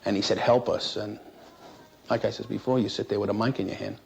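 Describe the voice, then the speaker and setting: Low, mellow-voiced man in an old interview. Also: fairly even intonation, fairly quick, vocal fry. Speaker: air traffic controller being interviewed. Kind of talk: recounting a work experience in an interview. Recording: noisy 1960s interview recording.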